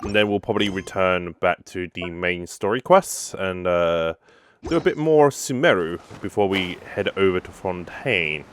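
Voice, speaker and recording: A woman's voice: extremely low pitch, moderate pace, a little hiss or hum. A woman giving casual let's-play commentary as a gaming streamer.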